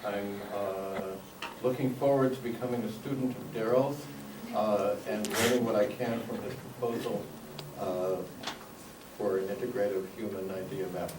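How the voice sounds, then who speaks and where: Man, from Israel, lecturer, flat monotone, distant, noisy room. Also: lowish voice, reverberant. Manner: steady, with filler pauses. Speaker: university lecturer. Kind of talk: self-introduction at a meeting.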